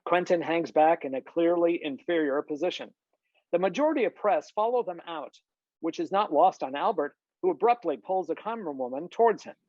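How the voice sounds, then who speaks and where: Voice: mid-range voice, animated, a little fast, a little mellow, dry recording. A narrator reading scripted stage directions at a table read over a video call. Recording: muffled, dull video-call audio.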